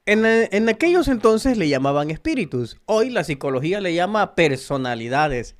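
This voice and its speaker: Salvadoran man preaching, lively pitch. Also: normal speed. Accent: Salvadoran Spanish. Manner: earnest, preachy, addresses listeners directly. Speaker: spiritual channel host. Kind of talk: monologue to viewers.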